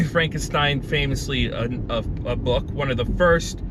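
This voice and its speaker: A man's voice: mid-range voice, measured pace, background noise. A man chatting casually as a podcast co-host, circling back over his words as he reasons aloud.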